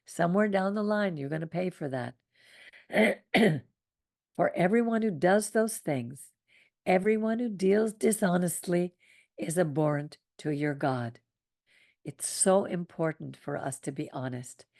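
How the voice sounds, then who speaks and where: A woman hosting a Torah study group, reading scripture aloud and commenting in a soothing, unhurried way. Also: fairly low pitch, creaky, a little mellow, no room sound, clean studio sound. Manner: soothing, unhurried teaching with small laughs.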